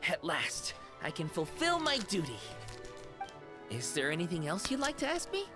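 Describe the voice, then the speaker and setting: Sleepy young woman concierge, dreamy and polite, halting theatrical delivery, very varied pitch, video game dialogue, music underneath. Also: wildly swooping pitch. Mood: dreamy, wistful.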